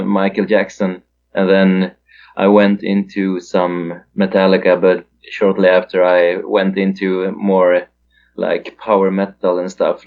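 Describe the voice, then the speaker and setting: A man's voice: low voice, a little mellow, muffled recording. A Swedish man in his thirties, a musician guest in a casual interview, hesitant with lots of fillers. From Sweden.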